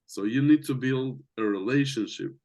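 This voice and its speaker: A man's voice: mid-range voice, normal speed, darkish tone. A young man from Latvia with a Latvian accent, a native Latvian and Russian speaker, talking casually and searching for his words. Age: young adult. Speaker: business coach. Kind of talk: casual conversation.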